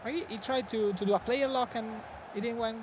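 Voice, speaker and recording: High-voiced Italian man commentating. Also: brisk, noisy background. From Italy. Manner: excitable, laughs often, non-native phrasing. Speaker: esports co-commentator. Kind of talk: live esports commentary.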